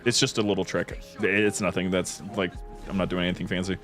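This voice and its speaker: A man's voice: sits low, flat delivery, a little fast, a bit bright. A man hosting a gameplay stream, chatting casually and jokily with mild exclamations, with music behind him. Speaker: stream host playing a modded shooter game.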